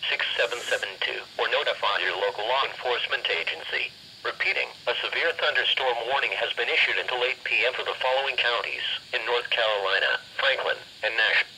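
A synthetic man's voice reading automated weather bulletins formally through a radio speaker, with flat, measured phrasing. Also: medium pitch, low-quality recording. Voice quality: synthetic text-to-speech voice. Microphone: camera held above radio. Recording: faint noise, radio speaker re-recorded.

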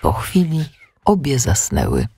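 Male audiobook narrator for children's stories; measured, expressive, slightly creaky, varied intonation. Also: medium pitch, dry recording, clean studio sound. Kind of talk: scripted children's story narration.

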